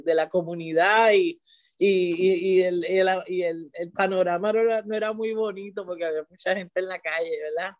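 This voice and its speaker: A woman's voice: mid-range voice, darkish tone. Puerto Rican woman, chatty interview guest. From Puerto Rico. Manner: restarts often, frequent "¿verdad?" tag. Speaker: guest, teacher and author. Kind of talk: conversational interview.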